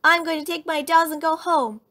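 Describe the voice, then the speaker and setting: Woman voicing an indignant, high-pitched little bear cub girl. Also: a little slow, fairly bright tone. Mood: angry. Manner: emphatic, protesting childish delivery.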